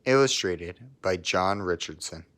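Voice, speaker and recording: Male storybook reader for young children, lower-pitched, expressive read-aloud delivery. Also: a little slow. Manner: expressive, with asides to listeners.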